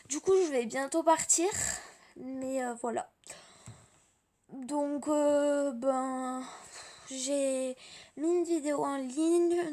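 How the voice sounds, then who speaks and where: A tired, slightly hoarse young woman, rambling casually through a home vlog on her tablet, full of fillers and restarts. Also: high-pitched, a little mellow. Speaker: vlogger. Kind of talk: casual daily vlog chat. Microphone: tablet camera.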